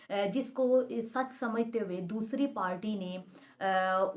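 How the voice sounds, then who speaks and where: A woman explaining law as a teacher, mixing in English terms and reading quoted passages slowly and deliberately. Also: mid-range voice. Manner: switches between Urdu-Hindi and English terms, slow deliberate reading of quotations.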